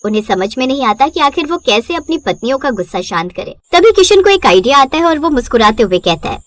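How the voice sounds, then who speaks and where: High, lively female storyteller. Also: quick pace, no echo. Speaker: narrator. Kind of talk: scripted bedtime story narration.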